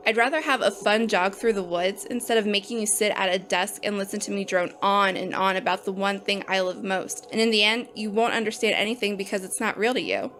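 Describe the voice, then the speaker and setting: A woman's voice: mid-range voice, brisk, few pauses, fairly bright tone, slight background noise, close to an everyday voice. A woman voicing an opinionated botany teacher who lectures bluntly and scoffs at textbooks. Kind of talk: audio drama acting.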